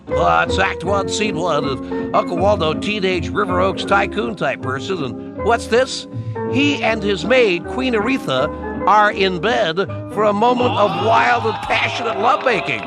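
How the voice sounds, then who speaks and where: Man as a very high, gushing radio announcer. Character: gushing, tongue-in-cheek announcer of a radio sketch. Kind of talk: mock old-time radio announcing.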